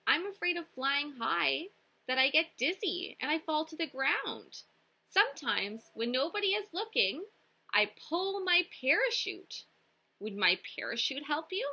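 Woman doing an anxious, high chirpy bird. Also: sing-song, unhurried, pushed and bright, exaggerated voice. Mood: fretful. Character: anxious, dizzy little bird.

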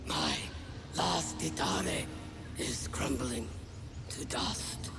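An actor playing a frail, weary old alien in a video game, gentle and resigned, speaking halting, cryptic broken English that creaks and drops toward a whisper. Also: medium pitch, big pitch swings, heavily acted. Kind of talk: video game cutscene acting. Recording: music under the voice. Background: music.

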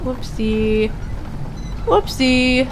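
A woman's voice: sits high, extremely slow, noisy background. Chatty young woman narrating her gameplay. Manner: chatty, talks to the game characters, mild exclamations. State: voice slightly off from allergies. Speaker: let's play gamer. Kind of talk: casual gameplay commentary. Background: game music.

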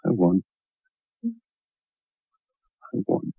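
An actor playing an intense, rough-mannered lover pressing a married woman in a 1960s radio drama. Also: very slow, dry recording. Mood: pleading, heated. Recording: muffled, narrow-band 1960s radio recording.

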